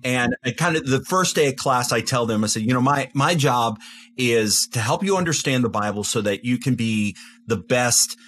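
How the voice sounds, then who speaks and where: A man's voice: medium pitch, animated, normal speed, fairly bright tone, a little hiss or hum. A middle-aged man, a professor and guest, chatting with dry humor over a video call into a studio mic in a home office. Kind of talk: casual chat.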